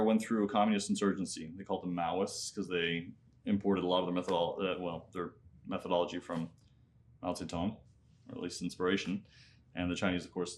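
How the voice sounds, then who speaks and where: A man in his thirties who grew up in Nepal and speaks with an American accent. His voice sits on the low side. He tells his story conversationally and reflectively as an interview guest. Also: slight background noise. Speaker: interview guest, MBA student and entrepreneur.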